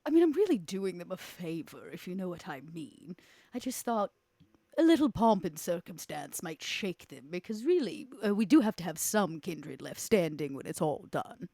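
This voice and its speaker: A woman playing an elegant, superior vampire schemer in a tabletop game, with a measured, pompous, theatrical manner. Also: fairly low pitch, sing-song, warm tone, dry recording. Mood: casually superior.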